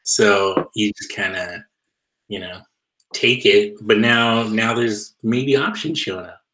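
Young man, co-host, low voice, echoey room, relaxed and loose, video call. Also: slow pace. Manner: relaxed, loose with likes and you-knows. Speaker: co-host, former comics retailer. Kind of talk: casual video call chat.

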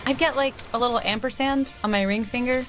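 A woman's voice: fairly high pitch, steady tone, a little fast, over music, lightly acted. A young woman playing a sarcastic, combative teenage girl in a naturalistic video game, dry and goading. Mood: needling. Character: snide, combative teenage girl, cool and needling.

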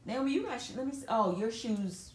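A woman's voice: on the high side, animated, brisk, a little hiss or hum. A woman, a mother filming a casual home vlog in a carpeted bedroom, chatting about what her kids got. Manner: chatty, talks baby talk to infant. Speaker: family vlogger, mother.

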